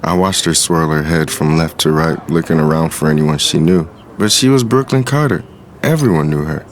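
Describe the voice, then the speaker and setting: A man acting a self-made street hustler who narrates an audio drama. His low voice is confident and reflective, smug yet smitten. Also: moderate pace. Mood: smitten, self-assured. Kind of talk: scripted first-person storytelling narration.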